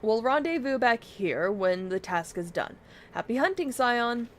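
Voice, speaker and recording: A woman's voice: a little high, expressive, unhurried, a little hiss or hum. A woman reading a confident, formal military commander's briefing aloud from a fantasy video game. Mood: brisk, confident. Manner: formal, orderly, declarative. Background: video game music.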